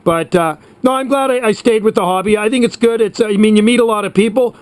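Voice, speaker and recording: A man's voice: high-pitched, fast talker, no echo. A man of about sixty chatting casually and at length as a hobby radio operator, in a reminiscing way. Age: around 60. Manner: chatty, reminiscing, uses radio sign-offs.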